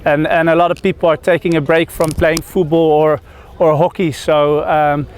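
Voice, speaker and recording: A man's voice: on the high side, level tone, moderate pace. A man in his thirties from the Netherlands, a cricketer giving a press interview outdoors, hesitant with many fillers and restarts.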